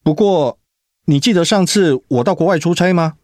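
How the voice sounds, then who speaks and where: An actor in a Mandarin radio drama playing a devoted, protective young husband who speaks in a tender, coaxing, sincere way. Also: male voice, a little high, moderate pace, darkish tone, dry recording, studio quality, lightly acted. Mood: heartfelt.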